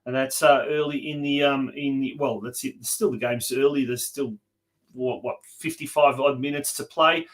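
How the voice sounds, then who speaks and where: An Australian man presenting a live community sports show as host, speaking haltingly with repeated and drawn-out words. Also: medium pitch, steady tone.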